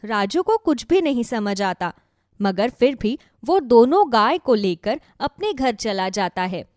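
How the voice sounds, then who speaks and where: A woman reads a scripted children's story as narrator. Her pitch is on the high side and swings expressively. The recording is dry. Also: sing-song, slow, a little mellow, no echo.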